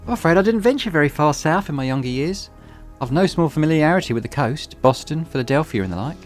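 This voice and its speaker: A man's voice: fairly high pitch, expressive, fast, soft background music, pitched above the performer's own voice, lightly acted. A man voicing a reserved, wry young woman who speaks in formal, clipped Victorian phrasing.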